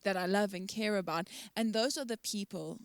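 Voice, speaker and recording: A woman from the congregation speaking earnestly and in a measured way in a church panel discussion. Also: mid-range voice, clean tone, no room sound. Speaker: church member.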